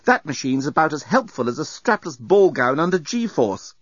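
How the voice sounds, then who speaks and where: A man voicing a level-headed, sharp-tongued young woman in a comic audiobook, with a firm, reasoning manner. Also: fairly high pitch, big pitch swings, normal speed, breathy edge, dry recording, highs cut off, voice pushed up, heavily acted. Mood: irked.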